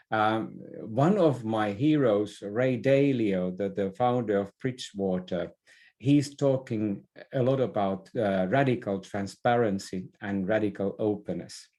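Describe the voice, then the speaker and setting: A man's voice: lowish voice, mellow. An older man giving thoughtful interview answers, often repeating a word as he searches for phrasing. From Finland. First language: Finnish. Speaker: interview guest, business leader and former theatre director.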